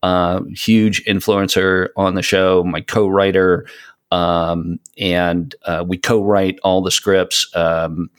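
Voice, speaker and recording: Low-voiced guy guesting on a podcast, chatty and keen, goes off on rambly explanations. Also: flat delivery, slow pace, smooth. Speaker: podcast guest, voice of an audio show. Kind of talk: casual conversational interview.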